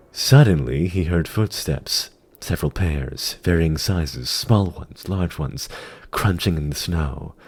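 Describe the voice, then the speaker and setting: Low-voiced man, very expressive fantasy narration. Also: slightly rough. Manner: grave, deliberate, theatrical. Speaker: narrator of a fan audio drama. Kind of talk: dramatic scripted fantasy narration.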